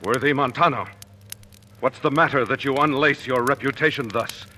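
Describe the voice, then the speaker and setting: An actor playing a proud, commanding general with measured, declamatory Shakespearean delivery in old-time radio style. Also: male voice, mid-range voice, pushed and bright, lightly acted. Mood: stern anger. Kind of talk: 1940s radio acting of Shakespeare. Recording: noisy vintage radio recording.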